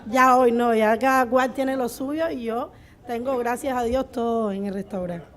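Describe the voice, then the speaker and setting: Cuban woman, slightly high voice, telling her story. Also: moderate pace, a faint crowd. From Cuba. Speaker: restaurant owner on a panel of entrepreneurs. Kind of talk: unscripted panel storytelling and answers.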